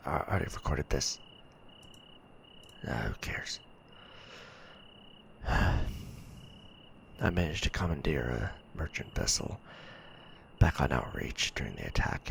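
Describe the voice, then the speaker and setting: Man acting as very deep, gravelly, weary mech pilot. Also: a little hiss or hum, full character voice. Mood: tired, confused. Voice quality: very deep, gravelly, breathy murmur. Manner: low muttering with sighs and hesitant pauses. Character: weary, bitter male stranded mech warrior soldier. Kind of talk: sci-fi ASMR roleplay audio log monologue.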